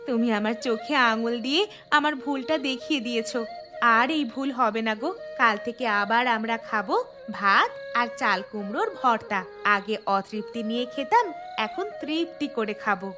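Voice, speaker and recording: A woman voicing a willful young newlywed wife in a cartoon, with an insistent, expressive manner. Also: on the high side, normal speed, over music, lightly acted. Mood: regretful. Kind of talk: Bengali cartoon voice acting.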